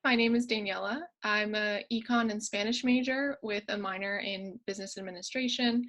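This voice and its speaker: A young woman from New York, a graduating student, lays out her thesis in an orderly way. Her voice sits at a medium pitch, and she starts her points with small fillers. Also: steady tone, normal speed, fairly bright tone. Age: young adult. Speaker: university student.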